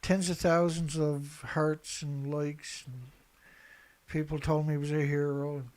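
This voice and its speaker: Emphatic higher-voiced man giving blunt commentary. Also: flat delivery, a little slow, a little mellow. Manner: emphatic, repetitive, blunt, colloquial. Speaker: online commentator and activist host. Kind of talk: unscripted opinionated news commentary, reading headlines and reacting.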